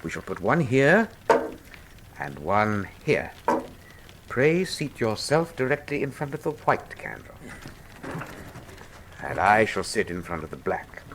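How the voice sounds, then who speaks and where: Radio drama male sleuth: keen, precise, lecturing, lively melodic delivery. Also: on the low side, slow, long pauses, noisy background, full character voice. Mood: grave, intent. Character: keen, commanding Victorian male detective, precise and erudite.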